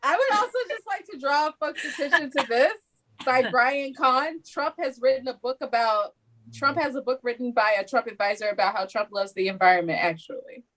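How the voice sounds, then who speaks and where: A woman's voice: high voice, fairly quick, a bit bright. A woman in casual comedic banter, blunt and teasing, swearing freely.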